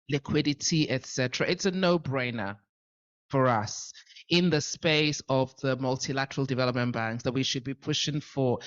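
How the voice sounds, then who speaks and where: A man at a policy roundtable with a loud voice, speaking forthrightly and stressing his points by repeating them. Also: medium pitch, clear, resonant tone, dull audio. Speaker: panel participant.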